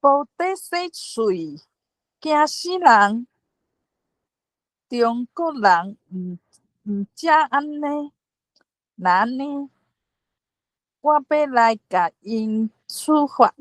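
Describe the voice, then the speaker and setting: A very slow, close and dry voice of a woman plays the haughty ruler of heaven. The delivery is measured and dismissive, in a Taiwanese accent, as in a staged folk tale. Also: on the high side, lively intonation, no room sound, rough recording. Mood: disgusted.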